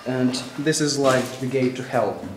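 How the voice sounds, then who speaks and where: Teen boy vlogger, slow and halting, repeats himself; handheld phone in an echoey derelict building. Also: medium pitch. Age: teens. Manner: hesitant, repeats words, chuckles often. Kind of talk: casual handheld exploring vlog. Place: abandoned building indoors. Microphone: phone camera at arm's length. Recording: echoey empty rooms, noisy.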